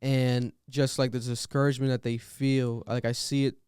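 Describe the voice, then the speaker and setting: Smooth-voiced American man, dry close sound. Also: mid-range voice, flat delivery, normal speed, a little mellow, no room sound. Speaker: podcast host. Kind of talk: casual conversational podcast chat.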